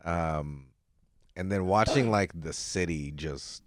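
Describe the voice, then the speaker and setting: Low-voiced man, laid-back and slangy. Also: slow, dry recording, studio quality. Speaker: podcast co-host. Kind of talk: casual group chat.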